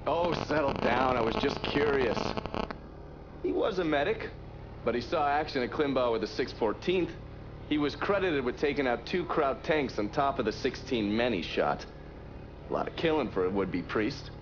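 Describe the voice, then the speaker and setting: A man plays a cynical American veteran agent in a game. He is talkative and wry, needling as he goes, at a middle pitch. The sound is slightly muffled, with clear vehicle noise around him. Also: animated, normal speed, natural voice. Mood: wry, teasing.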